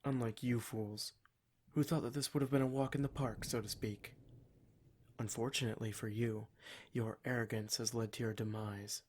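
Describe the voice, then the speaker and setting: A man's voice: mid-range voice, fairly even intonation, normal speed, a little mellow, natural voice. A man playing a cruel, smug demon in a scripted ASMR roleplay, speaking in a formal, mock-polite and menacing way. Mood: haughty, explanatory. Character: cruel, smug male demon, taunting captor of adventurers.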